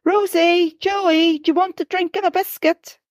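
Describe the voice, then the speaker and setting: Worried, loving mother, read by a woman storyteller, pitched up, dry close sound. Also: steady tone, a little slow, no echo. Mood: gentle. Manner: pleading, rising to upset shouting.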